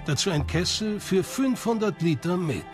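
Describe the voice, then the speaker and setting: A man's voice: high voice, lively intonation, moderate pace. A man reading scripted narration for a documentary. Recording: music behind the voice. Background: background music.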